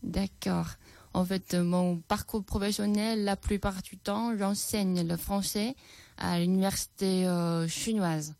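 A woman's voice: mid-range voice, fairly even intonation, takes it slowly, gravelly, a little mellow, no echo. A Chinese-born woman teacher speaking French with a Chinese accent, hesitant and full of fillers, answering radio interview questions. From China.

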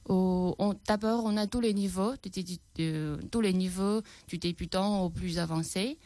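A woman's voice: lowish voice, normal speed, warm tone, no echo. A Chinese-born woman teacher speaking French with a Chinese accent, hesitant and full of fillers, answering radio interview questions. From China.

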